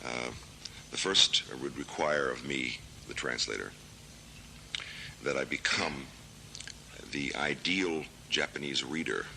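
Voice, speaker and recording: An American man in his thirties, a literary translator, answering interview questions in a thoughtful, measured way with many hesitations. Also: extremely low pitch, vocal fry, bright, forceful tone, a little hiss or hum. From United States. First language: English. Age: 30s.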